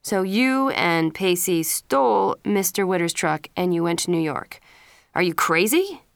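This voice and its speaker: A woman's voice: fairly low pitch, animated, a little slow, gravelly, clear, resonant tone, no room sound, clean studio sound. A woman reading the lines of a stern middle-aged father who scolds his son in a storybook.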